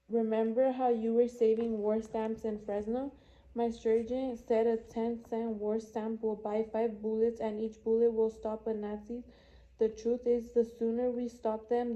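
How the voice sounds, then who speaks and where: A woman's voice: a little high, mellow, muffled recording. A woman's synthetic voice reading a children's novel as scripted narration, evenly and with odd stumbles. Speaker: audiobook reader.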